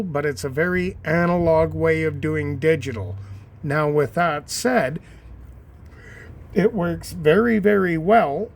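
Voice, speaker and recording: A man casually explaining ham radios at an unhurried pace, with frequent pauses and restarts. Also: on the high side. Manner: unhurried, many fillers and restarts, plain-spoken. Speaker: ham radio hobbyist reviewer. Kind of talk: casual show-and-tell explanation.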